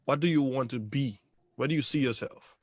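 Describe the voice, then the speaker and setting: A man's voice: medium pitch, a little fast, no room sound, studio quality. A man co-hosting an interview, asking direct, curious questions. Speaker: co-host. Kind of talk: interview chat.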